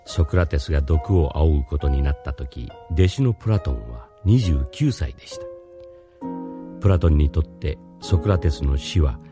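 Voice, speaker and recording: An older philosophy teacher, with an extremely low voice. He explains patiently and with an air of mystery, in measured radio drama style over music.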